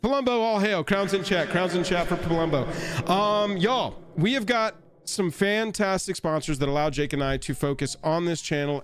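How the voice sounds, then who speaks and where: Playful higher-voiced stream host. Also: male voice. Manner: jokey, playful, breaks into silly voices. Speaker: co-host of a card game stream. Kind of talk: casual live-stream chat and sponsor read.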